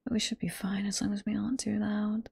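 A woman with a British accent playing a flirty, teasing, sweet head librarian boss in a whispered ASMR roleplay, soft and coy, close to the microphone. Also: medium pitch, flat delivery, brisk, creaky, husky, no echo. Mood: welcoming, flirty. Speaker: voice performer in roleplay.

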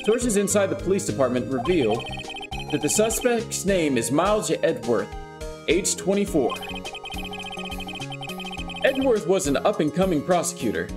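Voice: medium pitch, lightly acted. A reader doing a formal TV news anchor. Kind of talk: mock news broadcast reading. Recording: background music.

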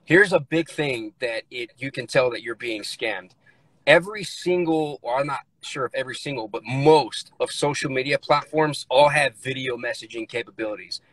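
A man in his thirties from the United States talks casually and bluntly on a phone video call from inside a car. His voice sits mid-range, and the sound is dry and close. He speaks plainly and relaxed, with loose, everyday phrasing. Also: medium pitch, normal speed, no echo, low-quality recording. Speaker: guest.

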